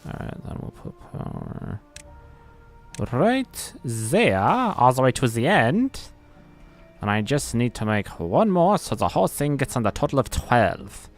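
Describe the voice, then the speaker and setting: A man's voice: mid-range voice, very animated, a little slow, soft background music. An American man streaming a building game, muttering his moves to himself, humming and joking along. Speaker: gaming streamer. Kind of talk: live game commentary.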